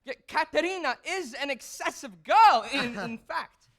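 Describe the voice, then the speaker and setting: A cocky childish young composer in a stage play, high voice, slow drawn-out words, stammery and giggly. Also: male voice, animated, fairly bright tone, heavily acted. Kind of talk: theatrical stage acting. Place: theatre stage.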